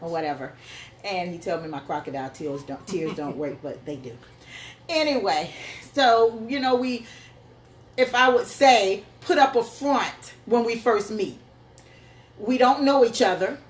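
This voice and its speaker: A woman's voice: medium pitch, unhurried. A woman co-hosting a casual chat show with her husband, playful and laughing often. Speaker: co-host. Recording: echoey room with faint noise.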